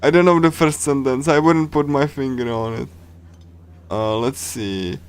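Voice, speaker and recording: A man streaming a game, chatting casually and reading along in a rambling, halting way with repeated phrases. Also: mid-range voice, clean tone. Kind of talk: casual livestream chat while reading and translating a game.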